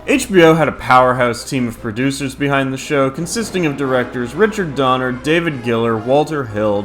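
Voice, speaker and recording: A man's voice: mid-range voice, a bit bright. A man in his thirties narrating a scripted countdown review in a crude, profane, opinionated way. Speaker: video host and reviewer.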